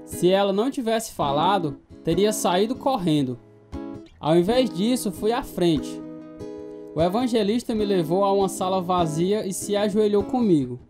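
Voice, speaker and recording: A man's voice: sits high, expressive, moderate pace, over music. An actor playing an earnest male new convert, telling his story in a Brazilian radio drama. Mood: reflective narration. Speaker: narrator and lead actor. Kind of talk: scripted radio drama narration and dialogue.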